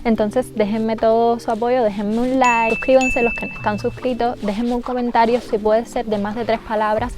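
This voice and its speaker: A woman's voice: on the high side, level tone, normal speed, few pauses. A young adult woman with a Cuban accent speaking conversationally and explaining things in a casual vlog as she responds to viewers. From Cuba. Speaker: YouTube content creator.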